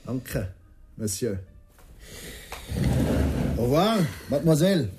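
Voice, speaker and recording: An actor playing a polite, curious wanderer in a Swiss German radio drama, courteous and sprinkling in French phrases. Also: male voice, mid-range voice, big pitch swings, takes it slowly, noisy background. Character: polite, curious male wanderer seeking a guide.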